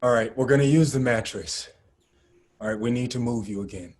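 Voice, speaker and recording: A man playing a grim, sad activist in a dramatic table read, halting and earnest in an understated way. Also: medium pitch, darkish tone, natural voice. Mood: subdued, tender. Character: Gus, grim, sad male activist planning an escape. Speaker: actor.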